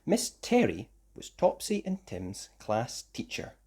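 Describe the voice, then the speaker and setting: A storyteller reading a children's picture book aloud in an expressive, animated way for young listeners. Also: mid-range voice, takes it slowly, slightly rough. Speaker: children's story reader, host.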